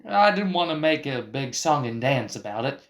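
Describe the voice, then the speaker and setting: A man voicing a folksy, cocky young Texan with an excitable manner and a Texan accent, in a radio play. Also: mid-range voice, normal speed. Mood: proud, earnest.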